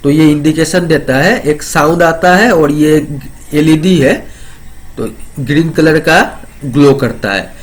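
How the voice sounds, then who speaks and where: A man's voice: a little high, a little slow. A man giving an informal technical demonstration, explaining step by step and repeating phrases. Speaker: presenter of a product explainer.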